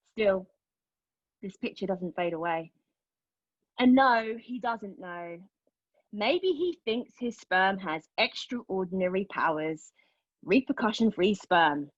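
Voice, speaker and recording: A slightly dull, very dry recording of a wounded, defiant woman played by an actress in a stage monologue. She speaks candidly and haltingly at a middle pitch, with bitter, sardonic edges. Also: animated, no echo. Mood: reflective, sardonic. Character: wounded, defiant woman confessing a painful past. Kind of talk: dramatic monologue at a table read.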